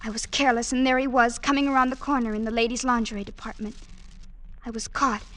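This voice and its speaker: A woman's voice: fairly high pitch, flat delivery, fast talker, a bit bright, close to an everyday voice. An actress playing a dreamy, fearful young woman in love, in a vintage radio drama.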